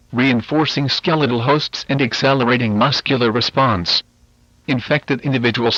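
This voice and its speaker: A man's voice: medium pitch, fairly bright tone, no echo, highs cut off, lightly acted. A man voicing a stern government official, reading a scripted emergency alert in a formal, authoritative manner. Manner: formal, authoritative, clipped military phrasing. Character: stern official of a government biohazard agency issuing evacuation orders. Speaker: emergency broadcast announcer. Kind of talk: scripted emergency alert narration, analog horror.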